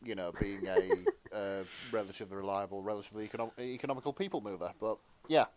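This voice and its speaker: A man co-hosting a podcast in casual chat, explaining things in measured, slightly halting phrases. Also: lowish voice, darkish tone, a little hiss or hum. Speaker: podcast co-host.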